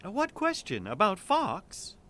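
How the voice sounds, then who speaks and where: Anxious male neighbor, high voice, slow, timid, hesitant, audio drama. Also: huge pitch swings, sharp tone, exaggerated voice. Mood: nervous. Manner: nervous, hesitant.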